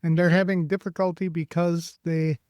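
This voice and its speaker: Guy hosting a podcast, pretty high voice, lots of okays and short questions. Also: flat delivery, moderate pace, a little mellow, no echo. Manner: brief, affirming replies and questions. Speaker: podcast host. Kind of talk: podcast interview.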